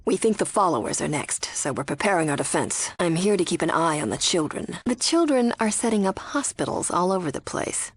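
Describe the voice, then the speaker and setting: An actress playing a wary, sardonic young spy undercover in a cult, speaking conversationally as a video game character. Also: female voice, lowish voice, lively intonation, a little fast, hardly any pauses, no echo. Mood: earnest, conspiratorial.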